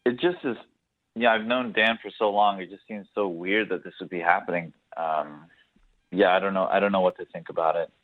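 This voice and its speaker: A man's voice: low-pitched, steady tone, brisk. A man on a radio phone-in, talking casually and asking questions as a caller. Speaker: phone-in guest on a radio dating segment. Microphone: phone call. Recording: thin, band-limited phone line.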